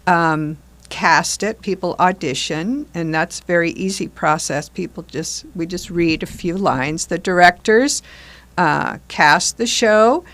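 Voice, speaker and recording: A woman's voice: fairly low pitch, measured pace, fairly bright tone. An older woman talking conversationally as a member of a community theater group, explaining things and posing questions. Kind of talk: conversational discussion.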